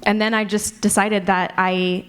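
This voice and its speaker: A woman's voice: medium pitch, level tone, a little slow, fairly bright tone, smooth. A woman talking casually and candidly as a podcast maker on a panel, with a self-deprecating manner. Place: convention panel room.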